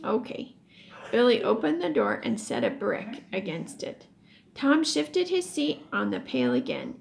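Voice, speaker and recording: Animated high-voiced woman reading to children. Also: gravelly. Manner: animated storytelling, pauses to explain words. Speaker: teacher reading a book aloud. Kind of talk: expressive children's read-aloud with word explanations.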